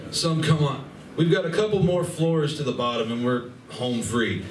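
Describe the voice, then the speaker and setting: A man's voice: mid-range voice, steady tone, normal speed, lightly acted. Man playing a sarcastic furry gunslinger, echoey and distant. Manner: sarcastic, exasperated. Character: jittery wisecracking male furry gunslinger with a drinking habit. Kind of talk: live radio play acting. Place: live stage reading at a convention. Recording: distant mic, echoey noisy convention room.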